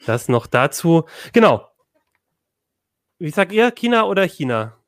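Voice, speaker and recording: A man hosting a tech podcast, speaking with a southern German accent and often pausing with fillers and restarts. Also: on the high side, very animated, dry recording, studio quality. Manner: hesitant, many fillers and restarts. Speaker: podcast host. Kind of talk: casual tech podcast chat.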